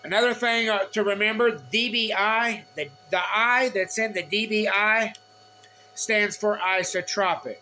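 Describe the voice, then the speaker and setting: A man giving an informal technical explanation from notes, speaking haltingly in short, repeated phrases. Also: high voice, pushed and bright, slight background noise. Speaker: explainer of radio antenna measurements.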